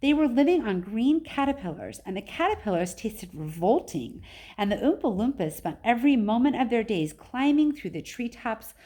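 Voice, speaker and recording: A woman reading as a proud, excitable, eccentric man who owns a chocolate factory, in an emphatic storybook style. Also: on the high side, moderate pace. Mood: dramatic, emphatic.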